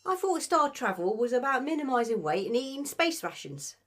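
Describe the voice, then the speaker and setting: A woman's voice: sits high, big pitch swings, fairly quick. A British woman playing a streetwise, exasperated young servant, blunt and slangy, in an audio drama. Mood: incredulous.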